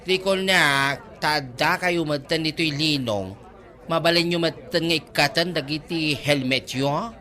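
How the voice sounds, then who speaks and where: A woman's voice: deep voice, unhurried, pushed and bright. A woman playing a gossipy, mocking neighbour in a comedy drama, teasing and exclamatory. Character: mocking, gossipy neighbour who teases and scolds. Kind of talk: Ilocano comedy drama acting.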